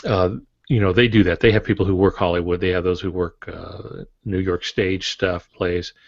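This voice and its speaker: An American man in his sixties giving plain-spoken, halting advice in a conversational podcast style on a video call. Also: deep voice, steady tone, creaky. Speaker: guest author and publishing expert. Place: home office, indoors. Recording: dull, roomy video-call audio.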